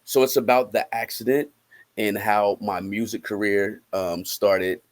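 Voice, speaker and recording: A man's voice: lowish voice, steady tone, a little slow. A man giving interview answers as a guest, repeating words as he goes and tagging phrases with "right?". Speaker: guest musician promoting a film project.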